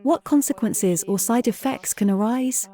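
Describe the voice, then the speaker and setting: A woman's voice: a little high, moderate pace, warm tone. A woman hosting an interview, talking conversationally in an engaged, inquisitive manner. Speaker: interviewer, host.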